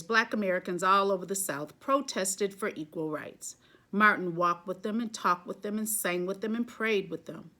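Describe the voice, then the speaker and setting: A woman reading a children's picture book aloud, phrasing deliberately and stressing key words. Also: mid-range voice, fairly bright tone.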